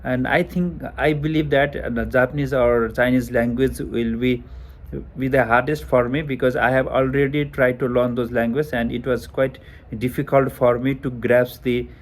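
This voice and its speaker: A man's voice: medium pitch, steady tone, moderate pace. A young man from Nepal with a Nepali accent, answering speaking exam practice questions hesitantly with many fillers and restarts, recorded on a Bluetooth headphone mic. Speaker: test taker. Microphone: headphone mic over Bluetooth to phone.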